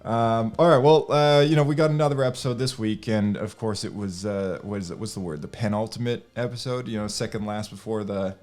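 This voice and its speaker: A man's voice: fairly low pitch, fairly even intonation, a little fast, few pauses, darkish tone. A man hosting a casual podcast chat, with a hesitant, meandering way of talking. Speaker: podcast host.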